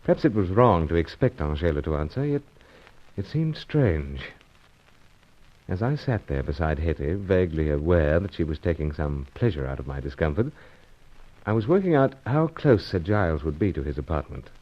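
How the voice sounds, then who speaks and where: A man's voice: deep voice, big pitch swings, moderate pace. A man playing a suave, evasive British secret agent with a wry, urbane manner, in old-time radio serial style. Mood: measured, narrating. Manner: hesitant, sidestepping, urbane, wry. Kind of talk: old-time radio serial acting with first-person narration. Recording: dull, band-limited vintage radio recording.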